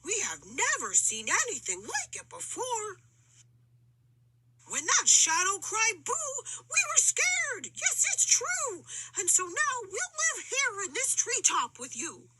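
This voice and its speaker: A woman acts out a frightened treetop monkey from a children's storybook. The voice is very high and piercingly bright, full of dramatic fright and swooping emphasis. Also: wildly swooping pitch, slow pace, a little hiss or hum, heavily acted, poor audio. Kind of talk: children's picture-book character reading.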